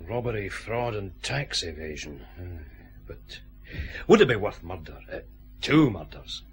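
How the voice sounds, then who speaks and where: Deep, gruff Scottish detective. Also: male voice, sing-song, unhurried, soft background music, full character voice. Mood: sceptical, musing. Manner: dry, blunt. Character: gruff, cynical male police detective inspector. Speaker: actor in a radio detective drama. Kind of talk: radio drama acting.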